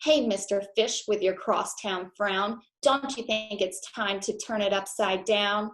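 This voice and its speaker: A cheerful female clam coaxing a friend in picture-book verse, voiced by a woman reading aloud. She speaks slowly and gently. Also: mid-range voice, fairly even intonation, natural voice.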